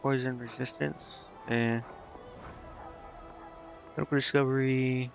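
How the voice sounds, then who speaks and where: Gravelly man streaming a video game. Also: medium pitch, flat delivery, slow pace, faint music behind. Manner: musing, questioning himself as he reads menus. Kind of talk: casual gameplay commentary, thinking aloud. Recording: muffled lo-fi mic with clear background noise.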